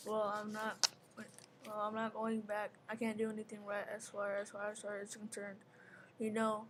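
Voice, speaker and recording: Young warrior cat read by a woman: earnest, bossy, flat intonation, staged reading with faint noise. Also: mid-range voice, close to an everyday voice, rough recording. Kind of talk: readers theater performance. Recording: faint background noise, live ambience.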